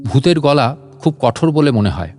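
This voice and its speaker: A man's voice: mid-range voice, sing-song, normal speed, darkish tone, long reverb tail, faint music behind. A man narrating a comic story in a dramatized storytelling style.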